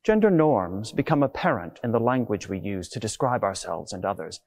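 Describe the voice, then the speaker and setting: Male preacher, middle-aged, lively intonation, scripted sermon delivery on a lapel mic. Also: lowish voice, normal speed. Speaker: preacher, Anglican clergyman. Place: inside a large stone church.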